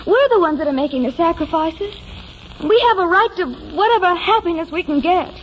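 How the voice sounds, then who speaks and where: A high-voiced, ardent young bride. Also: female voice, animated, fairly quick, a little hiss or hum. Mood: defiant, earnest. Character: ardent, determined young bride-to-be, romantic and earnest. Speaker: radio actress. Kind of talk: 1940s radio drama acting. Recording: muffled old radio recording with music.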